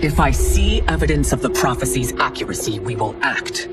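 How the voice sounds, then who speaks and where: A woman's voice: a bass voice, big pitch swings, moderate pace. A woman voicing a proud, commanding empress in a video game, speaking formally and scolding. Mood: stern. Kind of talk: video game cutscene acting. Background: game music.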